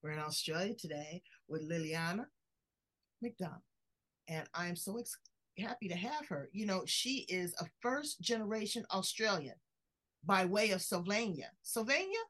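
A woman's voice: medium pitch, lively intonation, normal speed, fairly bright tone. Woman hosting a conversational interview. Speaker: podcast host interviewing a guest. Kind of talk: conversational interview with scripted-sounding show intro.

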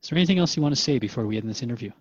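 A man's voice: medium pitch, expressive, very fast, darkish tone, breathy edge, no echo. Man as earnest radio interviewer, dry muffled sound. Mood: earnest, modest. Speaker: radio show host and interviewer. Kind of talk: scripted radio drama interview.

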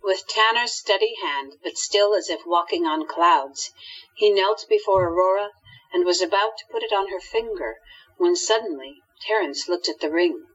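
A woman's voice: lowish voice, normal speed, a bit bright, slightly rough. A woman narrating a scripted radio drama as storyteller, on dull lo-fi audio.

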